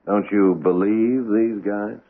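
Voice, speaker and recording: A blunt cynical cop, lowish voice, pressing, tinny old radio sound. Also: male voice, fairly even intonation, slow. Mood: skeptical. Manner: skeptical, pressing. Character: blunt, cynical male police lieutenant.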